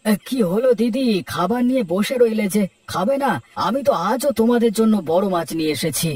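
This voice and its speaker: A performer voicing a genial, helpful young village father in a Bengali family cartoon, brisk in manner. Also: mid-range voice, animated, a little mellow, breathy edge. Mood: friendly.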